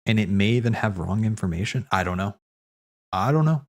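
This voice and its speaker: Male streamer, lower register, loose off-the-cuff commentary, halting and repetitive as he works through ideas. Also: lively intonation. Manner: hesitant, repeating words as he thinks aloud. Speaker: streamer hosting a watch party. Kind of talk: casual rambling commentary, replying to chat.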